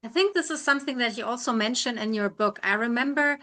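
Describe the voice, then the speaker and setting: Bright, higher-voiced woman interviewer. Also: fast. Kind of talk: conversational interview questions.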